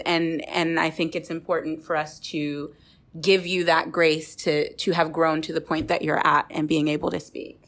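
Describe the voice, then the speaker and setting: A woman with a fairly low voice hosts a conversational podcast. She is reflective and encouraging, informally gathering up what her guests have said and handing it back to them. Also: a bit bright. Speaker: podcast host.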